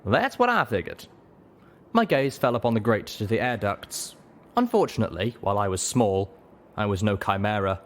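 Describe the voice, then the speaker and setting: A man's voice: on the low side, animated, fairly quick. A man reading a story aloud as a dramatic first-person audiobook narrator. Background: music.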